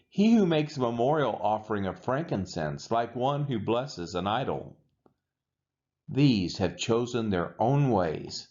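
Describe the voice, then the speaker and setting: Slow, expressive man reading scripture. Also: medium pitch, lo-fi sound. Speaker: scripture reader. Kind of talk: solemn Bible reading aloud.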